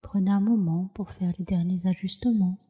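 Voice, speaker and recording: A woman leading a guided relaxation meditation as a yoga nidra teacher, in a soft, soothing, measured way with breathy phrase endings. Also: on the low side, steady tone.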